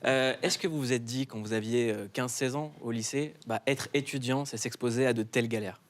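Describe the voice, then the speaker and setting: Quick, joking male TV host. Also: medium pitch. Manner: joking, teasing the guests. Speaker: TV show host. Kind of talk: TV studio interview.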